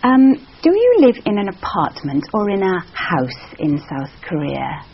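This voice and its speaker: A woman examiner asking interview questions in a measured, encouraging way with frequent backchannels. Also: fairly low pitch, sing-song, slight background noise. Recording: dull lo-fi sound.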